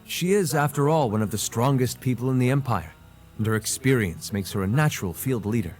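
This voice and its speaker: A man's voice: mid-range voice, animated, a little fast, darkish tone, close to an everyday voice. Man playing an eloquent noble prince, swooping delivery. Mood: informative, admiring. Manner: theatrical, refined. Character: eloquent, playful noble prince addressing students. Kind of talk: scripted game cutscene acting. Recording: music behind the voice.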